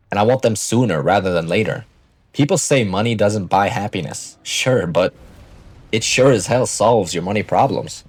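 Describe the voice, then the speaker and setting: Young guy early 20s, lowish voice, blunt vlogger pep talk, swears a bit, dry close sound. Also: a little mellow, husky, no echo. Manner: blunt, assertive, swears casually. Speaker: finance vlogger. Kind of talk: casual motivational monologue to viewers.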